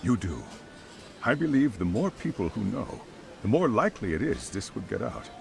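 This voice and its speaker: A man's voice: on the low side, sing-song, normal speed, vocal fry, faint music behind. An actor playing a polite, formal middle-aged private school chairman in a video game cutscene, speaking in careful, wordy sentences. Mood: measured, formal.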